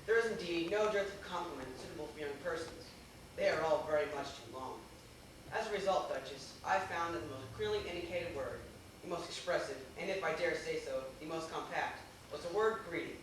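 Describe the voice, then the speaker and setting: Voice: medium pitch, brisk, close to an everyday voice. An actor playing a deferential, fussy tutor in a stage play, with formal, wordy, obsequious delivery. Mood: deferential, pleased. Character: deferential, fussy household tutor serving a duchess. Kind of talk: amateur stage acting of a one-act play. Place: theater stage, picked up from afar. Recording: echoey, noisy camcorder recording from far off.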